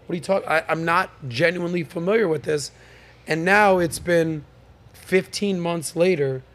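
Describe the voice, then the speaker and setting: Guy hosting a podcast panel, kinda high voice, upbeat, lots of uh and um. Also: moderate pace, a bit bright. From United States. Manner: upbeat, joking, many fillers and restarts. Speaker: podcast host. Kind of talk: casual panel talk show hosting.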